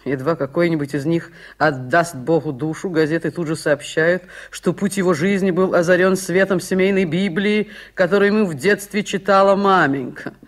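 A woman's voice: lowish voice, normal speed, lightly acted. A woman plays a sardonic professional prophetess in a Soviet radio drama. She delivers her lines with theatrical mockery and bitterness, as a ruined heiress would. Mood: mocking, bitter. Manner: mocking, theatrical. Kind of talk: Soviet radio play acting.

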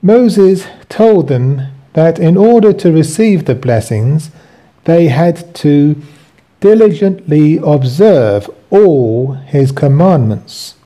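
Slow, mellow man reading aloud. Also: a little high, animated. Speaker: host reading his own book with commentary. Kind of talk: scripted reading with commentary.

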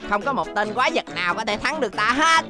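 A woman voicing a boastful little robot superhero child in an animated children's cartoon, with theatrical play-fighting bravado. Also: medium pitch, fast talker, over loud music, close to an everyday voice.

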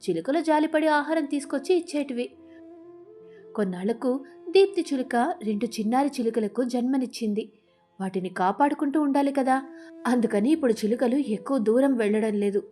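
A woman's voice: high-pitched, fairly quick, dark tone, faint music behind. A woman narrating a scripted storybook tale as a storyteller.

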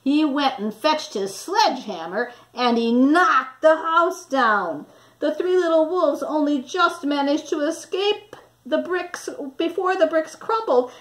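An older woman in her sixties reads aloud to children. Her voice is high and very lively in pitch. She takes her time, with an expressive, teacherly delivery. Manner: teacherly, animated, deliberate. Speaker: storybook reader for children. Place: small indoor room.

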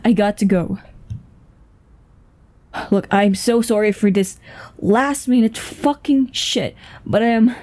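A woman's voice: mid-range voice, warm tone, lightly acted. A woman voice actor playing a tense, foul-mouthed, commanding woman with a secret in a dramatic audio roleplay, urgent and swearing. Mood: flustered, urgent. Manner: swearing, urgent, breathy sighs and stammers. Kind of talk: dramatic ASMR roleplay acting.

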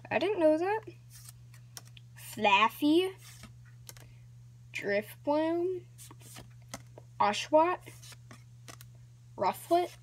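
A woman hosting a casual card-opening vlog, talking in a hesitant, rambling way with many ums and restarts, sometimes dropping to a soft whisper. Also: on the high side, a little hiss or hum. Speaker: channel host.

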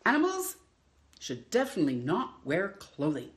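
Expressive woman storyteller, very animated pitch. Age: middle-aged. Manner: expressive, drawn-out storytelling delivery with playful emphasis. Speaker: children's storytime host. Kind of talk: reading a picture book aloud to children. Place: indoors in a home room.

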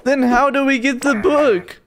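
A man's voice: very high voice, a little slow, background noise. A man voicing an earnest, polite young stick-figure friend in a cartoon fan dub, with a pleading manner.

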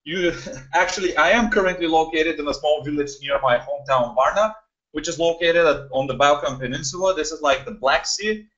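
Young male host, slightly high-pitched, chatty filler-heavy delivery, muffled webinar sound. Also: a little fast, fairly bright tone. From Bulgaria. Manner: chatty, greets attendees, many fillers. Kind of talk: live webinar talk. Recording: dull lo-fi webinar audio.

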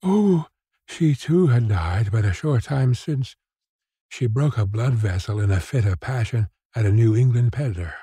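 Man voicing a plain-spoken young village mother, husky. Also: medium pitch, animated, unhurried, warm tone, dry recording, studio quality. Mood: matter-of-fact, sad. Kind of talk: dramatised storybook reading.

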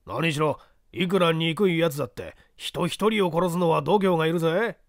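A man voicing rough rustic construction laborers in a dramatic audiobook reading, with blunt colloquial speech. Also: on the high side, moderate pace, no echo, studio quality, voice pushed up, natural voice. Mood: musing. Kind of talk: dramatic audiobook character acting.

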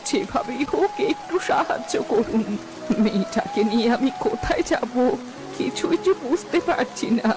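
A woman's voice: high voice, lively intonation, moderate pace, over music. A woman playing a poor, worried old village mother in a cartoon, pleading and lamenting. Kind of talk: Bengali fairy-tale cartoon dialogue.

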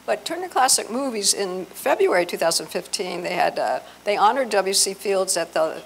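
A middle-aged American woman giving an informal, digressive talk as a presenter, halting as she goes. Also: mid-range voice, animated, vocal fry. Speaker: presenter at a convention. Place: hotel meeting room with seated audience. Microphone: handheld microphone. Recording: noisy hotel meeting room.

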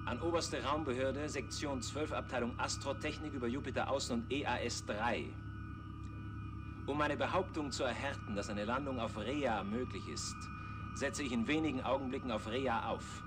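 A man's voice: medium pitch, natural voice. Man playing a defiant starship commander over music. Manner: firm, clipped, official radio announcing. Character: defiant, self-assured male starship commander. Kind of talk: 1960s television science fiction acting. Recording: 1960s TV soundtrack with music.

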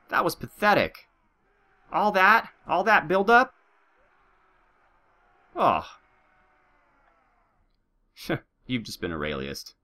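A man doing joking gameplay commentary, slightly sick with a bit of a nasal sound and a sore throat. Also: on the high side. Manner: animated, joking, talks to his troops and viewers. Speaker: let's play host.

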